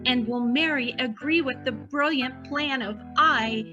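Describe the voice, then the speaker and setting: A woman's voice: sits high, unhurried, dull audio, over music. A woman playing a self-important radio announcer reading a theatrical scripted teaser. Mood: grand, teasing.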